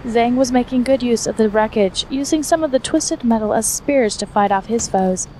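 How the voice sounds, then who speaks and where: A woman's voice: a little high, a little mellow, slight background noise, rough recording. A woman reading scripted fiction aloud as the narrator of an action fantasy story.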